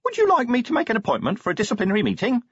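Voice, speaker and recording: A man's voice: extremely high pitch, huge pitch swings, racing, fairly bright tone, slightly rough, no echo, dull audio, voice pushed up, exaggerated voice. A man voicing a small, chirpy imp from a gadget in a storybook, eager to please, pleading and officious. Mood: helpful, hopeful. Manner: pleading, officious, recites like a machine.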